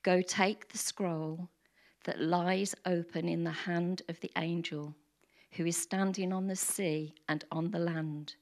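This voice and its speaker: A woman with a croaky voice reading scripture aloud to a congregation, careful and measured. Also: lowish voice, steady tone, a little mellow.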